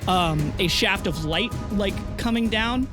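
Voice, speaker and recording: A man with a noticeably high voice works as game master for a tabletop fantasy game. He describes scenes and calls for rolls in a loose, conversational way, with music clearly playing behind him. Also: a little slow. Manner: casual, conversational improvised narration. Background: background music.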